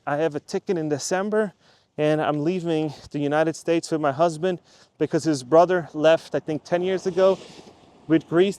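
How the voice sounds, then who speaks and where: A man's voice: on the high side, a little mellow, no echo. A man from Switzerland who runs a YouTube channel, talking casually to the camera in a chatty, rambling way and repeating himself for emphasis. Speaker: YouTube creator.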